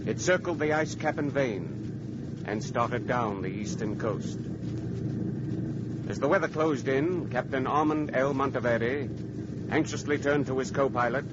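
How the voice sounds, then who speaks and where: A man's voice: medium pitch, a little slow. A man narrating a scripted 1940s radio drama. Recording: dull old radio, loud engine noise.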